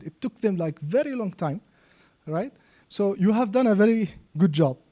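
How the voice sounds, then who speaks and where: A man's voice: sits high, mellow. A man from Yemen explains material as a seminar trainer, often checking understanding with short tag questions. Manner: checks understanding with frequent "okay?" and "right?". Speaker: trainer and Islamic finance expert.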